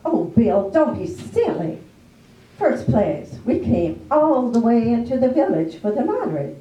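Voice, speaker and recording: Woman acting a stubborn farm wife, slow, echoey. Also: fairly high pitch, sing-song, warm tone. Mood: chiding, stubborn. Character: stubborn, practical farm wife brushing off worries. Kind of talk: amateur readers theater stage acting. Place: live play in a public library room. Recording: echoey room, distant mic.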